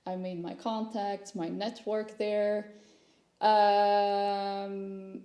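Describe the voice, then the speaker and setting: A young woman from Sweden speaks English, in a relaxed, mellow voice of medium pitch. She tells personal stories in a chatty, candid vlog style. The room adds a noticeable echo around her words. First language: Swedish. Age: young adult. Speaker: content creator. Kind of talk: casual personal vlog chat. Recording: roomy echo.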